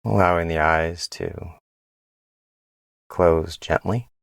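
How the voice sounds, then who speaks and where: A man leading a guided body scan meditation in a gentle, unhurried way, pausing between phrases. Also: low-pitched, steady tone, creaky, no echo, studio quality.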